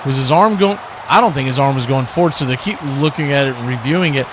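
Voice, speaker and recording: A man's voice: mid-range voice, fast, background noise. A man calling live football action as a play-by-play commentator.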